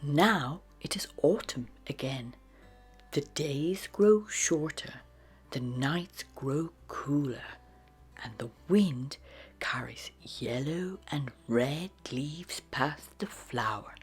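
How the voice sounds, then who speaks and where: A woman narrating a children's storybook in a dramatic, expressive read-aloud style. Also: low voice, slow, husky, soft background music. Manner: dramatic, with hushed phrases and sudden exclamations.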